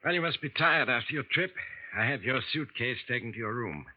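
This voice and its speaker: A man's voice: mid-range voice, animated, brisk, close to an everyday voice. Polite middle-aged male lawyer, businesslike, dull old radio sound actor voicing him. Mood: courteous. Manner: courteous, businesslike. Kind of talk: 1940s radio acting. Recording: muffled old radio broadcast.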